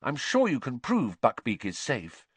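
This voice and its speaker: A man's voice: medium pitch, huge pitch swings, a little slow, husky, no echo, pitched above the performer's own voice, heavily acted. A man voicing an earnest, bookish, supportive schoolgirl in an audiobook. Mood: earnest, reassuring.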